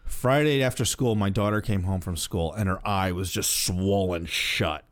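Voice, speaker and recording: A man's voice: deep voice, moderate pace. A middle-aged man with an American accent co-hosting a casual pop-culture chat, wry, blunt and prone to casual swearing. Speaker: podcast co-host.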